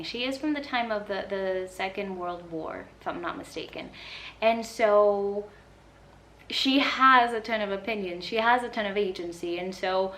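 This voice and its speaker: A woman giving a casual, chatty book review in an expressive, opinionated way, leaning emphatically on key words. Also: mid-range voice, slight background noise.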